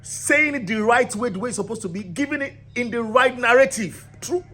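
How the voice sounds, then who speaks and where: A man's voice: extremely high pitch, a little fast, bright, forceful tone, husky. A man, founder of a cultural channel, giving an impassioned monologue to camera in an emphatic, rousing way. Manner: emphatic and rousing, builds repetitive lists.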